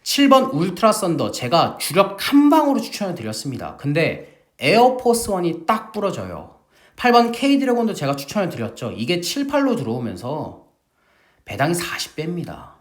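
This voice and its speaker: Lively, emphatic man tipping horse races. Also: high-pitched, normal speed. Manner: lively, emphatic salesman-like delivery, addresses viewers as family. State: recovering from a sore throat. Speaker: horse racing tipster host. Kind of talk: energetic betting-tips broadcast.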